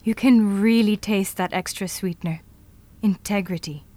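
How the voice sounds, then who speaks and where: Brisk, self-assured futurist played by a woman. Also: medium pitch, mellow, lightly acted. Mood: wry. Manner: brisk, matter-of-fact. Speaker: actor in an audio drama. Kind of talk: audio drama acting.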